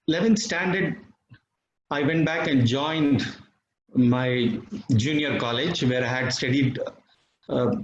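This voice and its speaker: Reflective Indian man, roomy muffled recording. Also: on the high side. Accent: Indian English. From Bangalore, India. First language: Tamil. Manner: reflective, measured, with pauses. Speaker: devotee sharing experiences. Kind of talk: personal testimony talk. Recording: dull, slightly roomy recording with faint noise.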